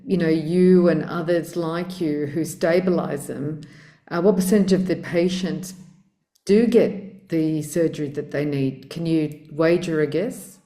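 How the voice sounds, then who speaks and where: Low mellow-voiced woman interviewer. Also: steady tone, unhurried. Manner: hesitant, soft backchannel murmurs. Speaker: interview host. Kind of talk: conversational interview questions. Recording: echoey room.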